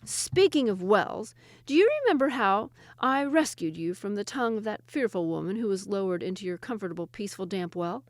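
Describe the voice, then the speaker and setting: A woman's voice: medium pitch, animated, brisk, dry recording, natural voice. A woman voicing a sly young male woodcutter who poses as a physician.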